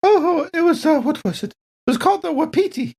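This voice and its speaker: A man's voice: very high voice, lively intonation, fairly quick, darkish tone, voice pushed up. A man voicing a genteel, scatterbrained lady android tourist in a tabletop game, polite and rambling. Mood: fluttery, cheerful. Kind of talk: tabletop role-play acting.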